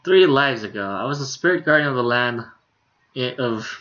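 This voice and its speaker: A man's voice: medium pitch, expressive, normal speed, a bit bright. Informal male streamer, slightly muffled sound. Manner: informal, amused. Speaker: game streamer. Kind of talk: reading game dialogue aloud with casual asides. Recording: slightly dull streaming audio.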